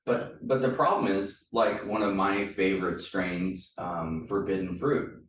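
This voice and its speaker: A man's voice: low-pitched, fairly even intonation, a little slow, a bit bright. A man from California hosting a podcast interview in a hotel room, chatty and rambling as he talks. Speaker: podcast host. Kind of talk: casual interview. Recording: echoey room, distant mic, faint noise.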